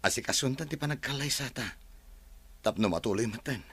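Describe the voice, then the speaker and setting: A man playing a calm, forgiving brother. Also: mid-range voice, fairly quick, breathy edge, no room sound. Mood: reassuring. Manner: calm, reassuring. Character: forgiving man named Marshal, calm and steady. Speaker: brother character. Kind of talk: radio drama acting.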